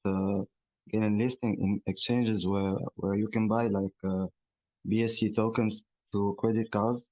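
A man from Tunisia whose first language is Arabic asks a friendly, meandering question, full of fillers. His voice sits low and sounds a little muffled and dry. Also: no echo. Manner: friendly and rambling, with many fillers. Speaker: audience member asking a question. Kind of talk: casual voice-chat question.

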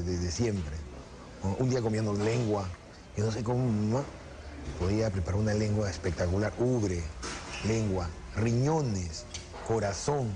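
A middle-aged Peruvian man, a chef, calmly explaining his recipes in a restaurant kitchen and recalling home cooking. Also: lowish voice. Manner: unhurried, repeats words while working at the stove. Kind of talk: explaining recipes while cooking, then reminiscing. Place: restaurant kitchen, then dining room. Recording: noisy restaurant recording.

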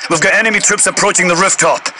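Higher-pitched military commander on radio. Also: male voice, steady tone, quick pace, sharp tone, a little hiss or hum. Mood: businesslike, commanding. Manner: clipped, commanding. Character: stern British military commander giving mission orders over radio. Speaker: mission control commander. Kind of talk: scripted video game military radio dialogue.

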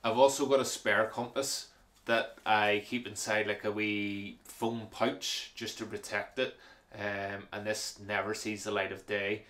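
Casual male vlogger, lowish voice, practical and unhurried, lots of ums. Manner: relaxed, practical, frequent fillers and repeated words. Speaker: hiking vlogger. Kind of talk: casual gear walkthrough.

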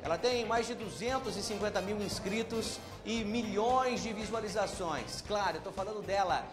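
Man, 30s, TV interviewer, upbeat, chatty, high voice, handheld mic, music behind. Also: normal speed. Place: indoor studio set.

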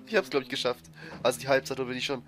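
A man with a cold giving excitable, frustrated commentary over a platform game he is playing. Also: medium pitch, racing. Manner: frustrated, excitable, swears and laughs. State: has a cold, coughing. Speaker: let's player. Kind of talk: gameplay commentary. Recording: faint game music behind. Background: game music.

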